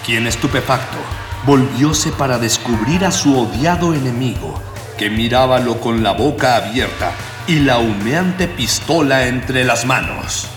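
A man's voice: medium pitch, lively intonation, a little slow. A man narrating a radio serial in a pompous, mock-epic manner. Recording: echoey long reverb over music.

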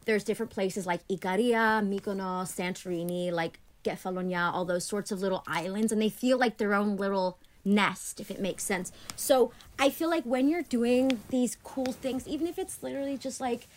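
A woman's voice: medium pitch, normal speed, few pauses. Young woman guest, remote call, talkative and filler-heavy, grew up speaking Greek. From Annapolis, Maryland, USA. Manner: chatty, many "like" fillers, animated when retelling. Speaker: podcast guest. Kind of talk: casual conversational interview. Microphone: remote call from home.

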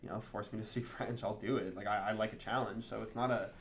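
A man's voice: lowish voice, steady tone, quick pace, background noise. A native English-speaking man talking candidly and thinking aloud in a casual interview. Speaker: interview guest. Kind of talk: casual conversation.

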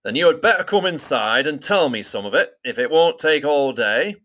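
A man voicing a huge, gruff, bearded man with a blunt, growling way of talking. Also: on the high side, animated, normal speed, a bit bright, pitched above the performer's own voice.

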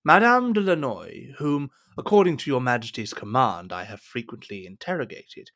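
Insinuating cardinal for period audio drama: mid-pitched, courtly, formal, richly varied intonation. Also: male voice, expressive, normal speed, a bit bright. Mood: measured, sly. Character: smooth, scheming, deferential cardinal and royal adviser.

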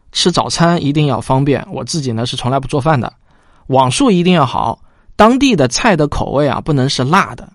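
A man's voice: on the high side, no room sound, studio quality. A man presenting as a show host, talking to listeners in a conversational, orderly way. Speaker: host and producer.

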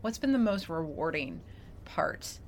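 A woman's voice: low-pitched, sing-song, unhurried. A woman hosting a podcast interview in a casual, conversational way. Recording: clear background noise.

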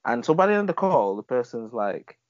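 A man's voice: medium pitch, quick pace, dry recording. A man in a casual group discussion, telling a story and acting out the people he talks to. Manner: animated storytelling, acts out callers. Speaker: panel guest. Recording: dull lo-fi sound, dry.

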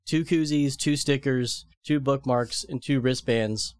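A man's voice: mid-range voice, unhurried, clean tone, no echo, clean studio sound. A man hosting a podcast, chatting casually with crude jokes and plenty of swearing. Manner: joking, laid-back, swears freely. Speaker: podcast host. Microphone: studio mic with headphones.

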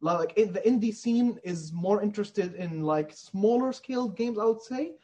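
Male indie developer, high-pitched and slightly raspy, earnest and emphatic in a conversational interview. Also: moderate pace, dark tone. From Middle East.

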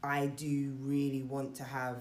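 A woman's voice: very deep voice, completely flat delivery, a little slow, darkish tone. A young adult woman in a casual group chat, thinking aloud about family and heritage, with plenty of fillers and restarts. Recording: roomy echo.

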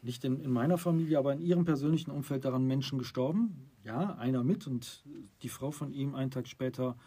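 A man's voice: mid-range voice, a little fast, mellow, slightly rough. A middle-aged man co-hosting a casual talk show, conversational and steering the topic. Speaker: podcast co-host. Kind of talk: casual chat.

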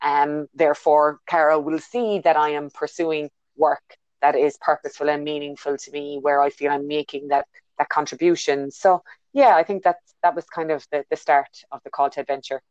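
A woman with an Irish accent, speaking low and reflectively, pausing with ums and restarting her sentences as she thinks aloud. Her voice comes through a dry, close call line that sounds a little dull and muffled. She sounds like a guest on a video call from a quiet bedroom. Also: steady tone, dry recording. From Ireland. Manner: thoughtful, many fillers and restarts. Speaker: guest, business consultant. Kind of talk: casual interview over a call. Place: bedroom at home.

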